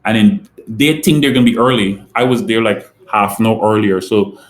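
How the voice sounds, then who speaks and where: A man from Guyana talks through his business in an interview. His voice sits fairly low, and he answers in a measured way, walking through examples step by step. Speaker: company president, guest.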